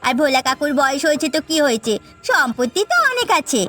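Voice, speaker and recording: A woman's voice: high-pitched, sing-song, quick pace, bright, forceful tone, full character voice. A woman voicing a smug, pushy young sister-in-law in a cartoon family drama, with a taunting manner. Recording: background music.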